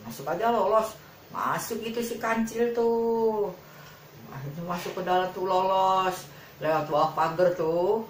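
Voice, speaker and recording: A woman's voice: on the low side, unhurried. A woman telling a children's fable in an informal, repetitive, colloquial way. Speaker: storyteller. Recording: roomy, distant mic, faint background noise.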